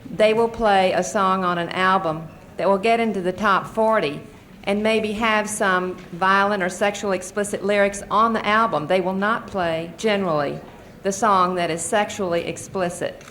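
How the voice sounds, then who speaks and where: A woman's voice: mid-range voice, steady tone, normal speed, a bit bright. A middle-aged American woman giving earnest, explanatory testimony as a witness at a formal hearing. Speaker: advocacy group witness and mother. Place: Senate committee hearing room. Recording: noisy archival hearing-room recording.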